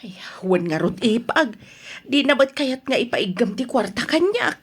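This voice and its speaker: An actress with an Ilocano accent playing a fed-up wife in a radio drama, scolding and nagging with emphatic exasperation. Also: female voice, on the high side, sing-song, normal speed, husky. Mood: weary, complaining.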